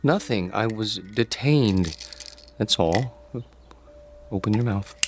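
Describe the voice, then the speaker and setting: An actor playing a meek, awkward grown son in a radio drama, withdrawn and evasive, with a hesitant, stammering, polite way of talking. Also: male voice, lowish voice, animated, slow pace, noisy background.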